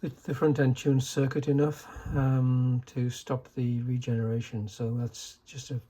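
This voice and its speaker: A man giving a casual, unscripted technical demonstration in a halting, low-key way, like a restorer explaining equipment. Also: level tone, mellow. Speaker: vintage radio restorer demonstrating a set.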